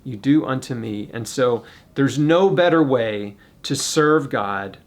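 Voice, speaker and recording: A man's voice: medium pitch, takes it slowly. An American man speaking informally and off the cuff as a video host, earnestly encouraging listeners to support a cause. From United States. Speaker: YouTube host making a charity fundraising appeal. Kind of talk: casual, unscripted appeal to camera.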